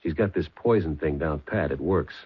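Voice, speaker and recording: An actor playing a shrewd, terse police sergeant in a 1970s radio mystery, matter-of-fact and methodical. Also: male voice, extremely low pitch, normal speed, slightly rough, exaggerated voice. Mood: grim resolve. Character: shrewd, hard-boiled male police sergeant. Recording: muffled lo-fi 1970s radio recording.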